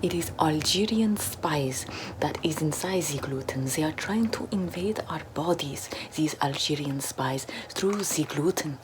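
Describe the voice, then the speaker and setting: A young woman doing a parody ASMR role-play, whispering in a deadpan, mock-mystic way into a close camera in a small bedroom. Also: low voice, measured pace, noisy background. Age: young adult. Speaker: ASMR creator. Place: small bedroom with floral backdrop, indoors.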